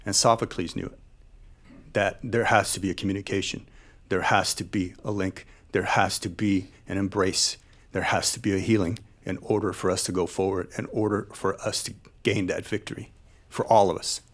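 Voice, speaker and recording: A man, a military veteran, giving earnest public remarks in measured, deliberate phrases with emphatic repetition. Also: low-pitched, steady tone. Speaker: military veteran and former troop leader. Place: live event space at a panel discussion.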